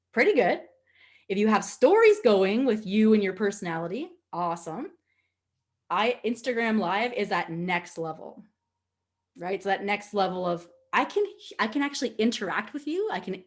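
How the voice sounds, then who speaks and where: A woman with a Nova Scotian Canadian accent teaching an informal online class in an animated, candid, jokey and chatty way. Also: mid-range voice. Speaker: social media instructor. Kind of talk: informal webinar teaching with casual asides.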